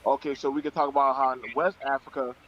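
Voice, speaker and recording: A man's voice: medium pitch, flat delivery, fast, muffled recording, slight background noise. A man on a panel, confrontational and needling, using casual slang in a heated debate.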